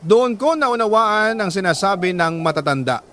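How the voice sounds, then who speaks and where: A man narrating as a 46-year-old working man who tells his life story, earnest and solemn, with dramatic pauses in a scripted delivery. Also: high voice, lively intonation, fairly bright tone. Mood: reflective, sorrowful. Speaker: storyteller narrating a listener's letter.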